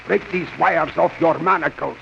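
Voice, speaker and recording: An actor playing an earnest scientist mentor with urgent, formal delivery in a 1930s radio serial, on a hissy old recording. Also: male voice, a little high, moderate pace, vocal fry, fairly bright tone, dull audio. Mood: urgent, reassuring. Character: earnest, pleading scientist doctor, mentor to a youth.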